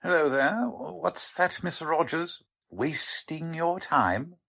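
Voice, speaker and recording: A man acting a fussy, smug company man with a crooked side in a 1940s-style radio drama, his voice husky. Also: on the high side, slow pace, a bit bright, exaggerated voice. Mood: fussy, flustered. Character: fussy company man who is secretly a smug crime boss.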